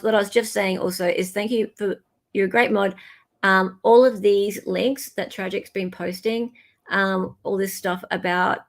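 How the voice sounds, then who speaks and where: Woman, Australian accent, livestream host, creaky voice, chatty and informal. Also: mid-range voice. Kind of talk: casual livestream chat.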